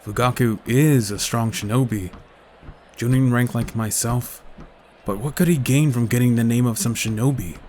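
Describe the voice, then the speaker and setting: A man playing a curious, stubborn ninja, mid-pitched. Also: moderate pace, a little mellow, a little hiss or hum, close to an everyday voice. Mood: earnest, pondering. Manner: casual, conversational. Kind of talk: fan-made anime audio drama acting. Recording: music behind the voice.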